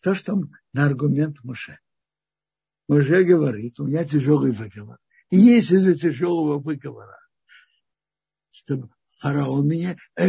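A man teaching the weekly Torah portion in a halting, thinking-aloud way, often restarting his phrases. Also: on the high side, gravelly, dark tone, breathy edge, muffled recording.

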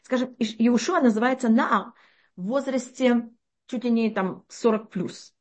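A middle-aged woman teaching a religious text class, explaining with lively rhetorical questions and frequent restarts. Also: a little high, unhurried. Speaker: Torah teacher.

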